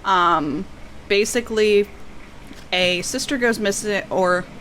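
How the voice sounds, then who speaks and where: A woman's voice: lowish voice, fairly bright tone, rough recording. A woman book vlogger talking casually in a rambling, self-deprecating way, often drifting into tangents. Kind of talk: casual chatty unboxing vlog. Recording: clear background noise.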